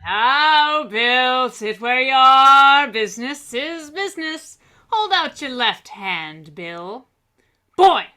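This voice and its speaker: Woman playing a slow, menacing old blind sailor. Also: on the high side, bright, forceful tone, heavily acted. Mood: coldly commanding. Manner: slow, fawning turning threatening. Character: menacing old blind sailor beggar man, wheedling then vicious. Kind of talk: radio play reading.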